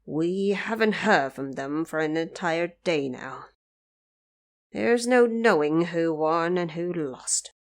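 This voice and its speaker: A woman puts on a celestial emperor for an audio drama. He speaks slowly and formally, a stately ruler who sounds solemn and aggrieved. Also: fairly low pitch, animated. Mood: worried.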